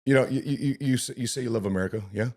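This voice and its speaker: A man's voice: mid-range voice, very fast, a little mellow. A man who grew up in Chicago, hosting an interview, asking questions with many restarts and frequent laughs. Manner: stammers on restarts, laughs often. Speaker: podcast host. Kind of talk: casual interview.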